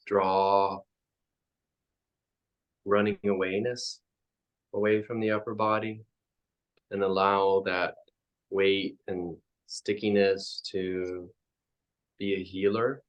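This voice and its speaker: A man leading a guided meditation as a teacher, speaking in halting, reflective phrases with frequent pauses. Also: fairly low pitch, low-quality recording.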